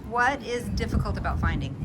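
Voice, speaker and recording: A woman interviewing, asking brisk, short questions with some restarts. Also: mid-range voice, animated, heavy background noise.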